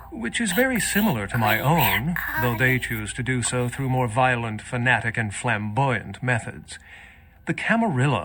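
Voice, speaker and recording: An actor playing a self-assured, scholarly loner vampire in a video game, speaking in an erudite, wry, measured manner. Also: male voice, medium pitch, bright, forceful tone, a little hiss or hum. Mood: wry, pensive. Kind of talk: dramatic video game voice acting.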